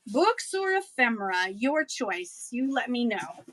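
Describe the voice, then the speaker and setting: A woman's voice: fairly high pitch, sing-song, a little slow, a bit bright, poor audio. Chatty woman selling books on a live stream. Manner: chatty, playful sing-song asides and old-fashioned exclamations. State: voice getting hoarse. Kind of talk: casual live auction selling.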